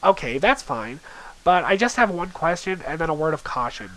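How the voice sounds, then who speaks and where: A man's voice: on the high side, big pitch swings, moderate pace, a bit bright, low-quality recording. A man voicing flippant teenage boys bantering in an online chat from a webcomic, casual and muttered. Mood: flippant, low-key.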